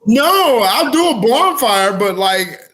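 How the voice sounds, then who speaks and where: A man's voice: extremely high pitch, very animated, takes it slowly, a bit bright, rough recording. A man co-hosting a podcast, chatting and telling stories in a slangy, jokey way with some swearing. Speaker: podcast co-host. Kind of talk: casual chat, storytelling.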